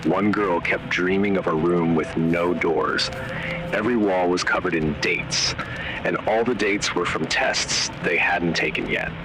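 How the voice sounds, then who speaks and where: A man reading scripted horror narration in a measured, grave, ominous way, in short dramatic phrases. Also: deep voice, fairly even intonation, over music, rough recording. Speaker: narrator of an analog horror video. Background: static noise.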